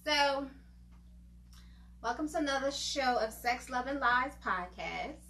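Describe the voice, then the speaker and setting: A woman's voice: high-pitched, lively intonation, bright, forceful tone. A woman in her forties co-hosting a podcast, chatting casually and teasing her co-host. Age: 40s. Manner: teasing, chatty, quick to laugh. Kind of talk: casual chat. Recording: roomy with faint background noise.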